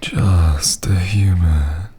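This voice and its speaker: A man voicing a domineering, seductive vampire lord in an intimate ASMR roleplay, with a husky, often half-whispered voice and a teasing, condescending manner. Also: low-pitched, warm tone, exaggerated voice. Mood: mocking, intrigued. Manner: slow, teasing, condescending drawl.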